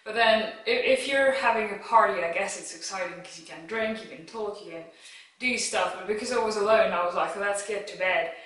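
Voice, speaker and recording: A woman's voice: mid-range voice, brisk, fairly bright tone. A woman vlogging alone at home, chatting casually and bluntly to camera with lots of "like" fillers. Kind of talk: casual reaction chat to camera. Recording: very echoey room, a bit far from mic.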